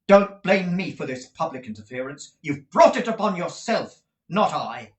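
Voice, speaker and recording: A man voicing a fiery young man who defies a cruel schoolmaster, declaiming passionately and loudly. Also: fairly high pitch, animated, normal speed, reverberant, pitched above the performer's own voice, close to an everyday voice. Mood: defiant. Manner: passionate, loud, forceful declaiming.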